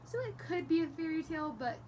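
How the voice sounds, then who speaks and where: A woman teaching young children through an interactive read-aloud, animated and exaggerated, prompting with questions and praise, with some background noise. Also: very high voice, fairly quick. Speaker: teacher reading a picture book aloud to young pupils.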